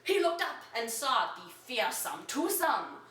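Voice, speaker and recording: A woman reads a story aloud in a mock-dramatic, theatrical way, moving between hushed gravity and excited emphasis. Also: on the high side, measured pace. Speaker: reader of an amateur story. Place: echoey room, away from the mic. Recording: roomy echo, distant from microphone.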